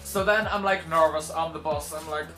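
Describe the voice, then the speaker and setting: A young man telling a casual vlog story to camera in a dramatic, animated way, with sarcastic, self-deprecating asides. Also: a little high, moderate pace, music in the background. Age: young adult. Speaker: video blogger telling a personal story. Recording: roomy echo.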